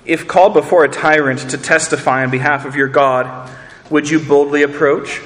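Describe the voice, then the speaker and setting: A man's voice: on the high side, steady tone, moderate pace, a bit bright, long reverb tail. A man preaching a sermon, earnest, asking rhetorical questions and repeating words as he builds each point. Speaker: pastor.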